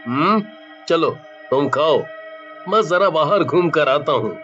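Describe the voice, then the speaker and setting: A man's voice: a little high, lively intonation, slow pace, a bit bright, soft background music. A man voicing a jovial but scheming village cook and husband in a cartoon, drawling and blustery, on dull audio.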